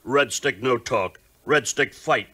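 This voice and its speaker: A man playing a belligerent war chief in a 1950s audio adventure, speaking in terse, halting, stock broken English. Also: mid-range voice, heavy background noise, natural voice. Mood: defiant. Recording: 1950s record with music behind.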